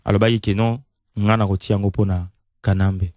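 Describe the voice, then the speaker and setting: A man's voice: on the low side, flat delivery, brisk, a little mellow, dry recording, studio quality. A man with a Congolese accent hosting a conversational radio show, often repeating and rephrasing his phrases. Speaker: radio host. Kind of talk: conversational radio talk, code-switching.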